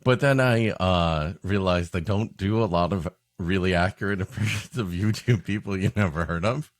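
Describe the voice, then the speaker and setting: A man's voice: low voice, normal speed, no echo, studio quality. A man co-hosting a podcast in casual comic chat, hesitant and self-deprecating. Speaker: podcast co-host.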